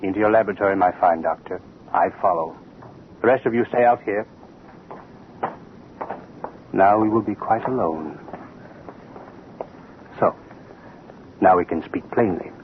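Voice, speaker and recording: An actor playing an arrogant, bullying government official, curt, commanding and pompous, in old-time radio drama style. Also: male voice, sits low, unhurried, long pauses. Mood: menacing, mocking. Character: arrogant, bullying propaganda official, a man of authority. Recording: tinny, noisy vintage radio recording.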